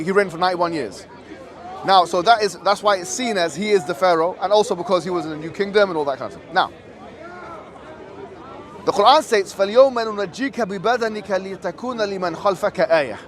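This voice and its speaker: Male street debater from Egypt, quick delivery, explanatory and checking for agreement, outdoors with crowd behind. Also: high-pitched, a bit bright. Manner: explanatory, checks the listener follows with tag questions. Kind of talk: impromptu religious debate. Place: outdoors in a public park debate spot. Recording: outdoor recording with crowd chatter.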